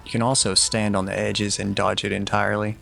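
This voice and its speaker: A young man talks through a video game walkthrough in an easygoing, instructive way, now and then poking fun at his own mistakes. His voice is low and gravelly, with a flat, level delivery. Faint game music plays behind him. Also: a little fast. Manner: easygoing, instructive, slightly self-deprecating. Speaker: gameplay guide commentator. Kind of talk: relaxed walkthrough commentary.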